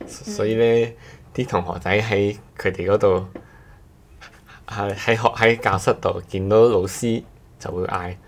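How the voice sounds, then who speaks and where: A man speaks in a low-pitched voice as a podcast co-host. He chats casually, with a measured, explanatory way of talking. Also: fairly even intonation. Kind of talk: casual chat.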